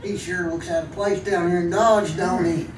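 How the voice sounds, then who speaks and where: An actor playing an excitable, folksy frontier sidekick with a rural American accent, stammering and repeating his words in a radio western. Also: high-pitched, moderate pace, natural voice. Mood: excited, amused. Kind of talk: old-time radio western acting. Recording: echoey, distant, noisy old radio recording.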